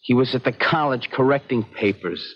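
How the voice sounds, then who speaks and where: An actor playing an earnest, pleading young son with a husky voice in a 1970s radio drama. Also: male voice, medium pitch, normal speed, close to an everyday voice. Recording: muffled vintage radio recording.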